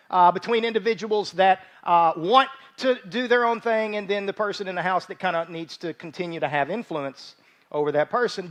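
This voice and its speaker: A man in his forties giving an informal, conversational sermon as a church pastor, folksy, repeating phrases for emphasis. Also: sits high. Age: 40s. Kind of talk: conversational sermon with joking asides. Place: church service, speaking live from a stage.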